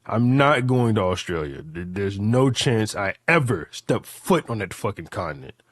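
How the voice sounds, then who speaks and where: A man's voice: on the low side, big pitch swings, normal speed, no echo, clean studio sound. A man in his late twenties chatting casually and rambling through hypotheticals, repeating phrases emphatically with profane slang. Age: late 20s.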